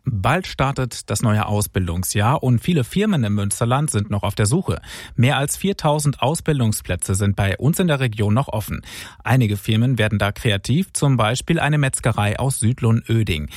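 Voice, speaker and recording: A man hosting a regional radio news show, reading the items in a brisk, clear scripted style. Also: medium pitch, animated, darkish tone, no room sound, studio quality. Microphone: studio microphone.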